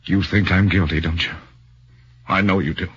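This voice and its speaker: A husky, anxious accused man. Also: low voice, fairly even intonation, moderate pace, a bit bright, exaggerated voice. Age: 30s. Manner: anxious, earnest, stammering. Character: nervous young man accused of murder, earnest. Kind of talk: 1940s radio acting. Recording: muffled 1940s radio broadcast.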